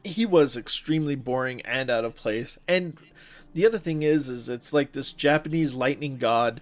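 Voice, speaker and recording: A man's voice: mid-range voice, moderate pace. A man co-hosting a podcast, chatting casually about films and games in an enthusiastic, emphatic way. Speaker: podcast co-host.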